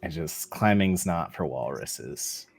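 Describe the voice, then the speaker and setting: A man playing a tabletop roleplaying game, describing his character in a halting, playful way. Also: extremely low pitch, lively intonation, gravelly, slightly rough.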